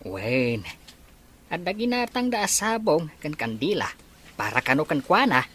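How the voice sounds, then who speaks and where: A woman voicing a grumbling, easygoing old Ilocano grandfather in a family audio drama, husky and full of muttered interjections. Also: deep voice, sing-song, a little slow, a bit bright, slight background noise, exaggerated voice. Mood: concerned.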